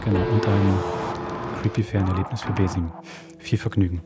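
A man's voice: low-pitched, fairly even intonation, fairly quick, over loud music. A man hosting a podcast in casual, chatty conversation. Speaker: podcast host and producer. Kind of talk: casual chat.

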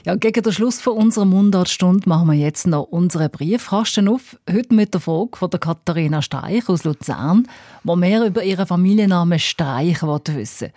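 A woman's voice: lowish voice, expressive, moderate pace, warm tone, no echo, clean studio sound. A woman with a Swiss German accent presenting a radio programme as its host.

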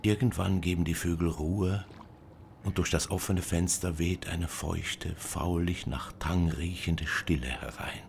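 Very deep-voiced man reading literary prose. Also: fairly even intonation, unhurried, gravelly, slight background noise. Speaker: narrator reading a literary text. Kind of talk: scripted literary narration.

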